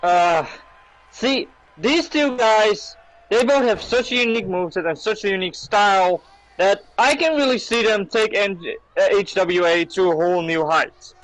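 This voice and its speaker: A man's voice: high voice, moderate pace, fairly bright tone, low-quality recording. A man doing wrestling color commentary, defensive and trading insults with his partner. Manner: defensive and bickering, trades insults. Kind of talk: heel wrestling commentary banter.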